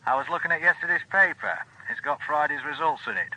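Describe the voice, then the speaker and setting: An actor playing a gruff male police superior speaking through a telephone filter in a radio drama. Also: fairly high pitch, fast talker, sharp tone, low-quality recording. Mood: offhand. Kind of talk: radio drama phone dialogue.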